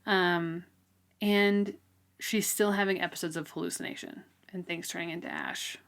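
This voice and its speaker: A woman's voice: on the low side, fairly even intonation, normal speed, gravelly, fairly bright tone. A woman co-hosting a podcast, chatting casually and informally about a book, peppering her sentences with "like". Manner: informal, frequent "like" as filler. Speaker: podcast co-host. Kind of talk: casual book discussion chat.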